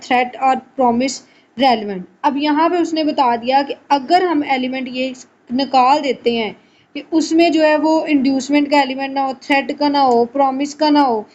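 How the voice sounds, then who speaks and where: A woman's voice: sits high, a little mellow. A woman lecturing on law, reading out formal text and explaining it conversationally. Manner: switches between slow English reading and conversational Urdu explanation. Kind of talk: explanatory lesson, reading out statutes and glossing them. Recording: muffled lo-fi audio with faint noise.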